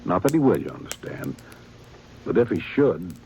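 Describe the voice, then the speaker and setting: A deep-voiced aging sheriff. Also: male voice, very animated, moderate pace, vocal fry, darkish tone, exaggerated voice. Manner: plain folksy drawl, grave and earnest. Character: aging small-town sheriff, weary and dutiful. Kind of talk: 1950s radio drama acting. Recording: old radio broadcast with faint hiss.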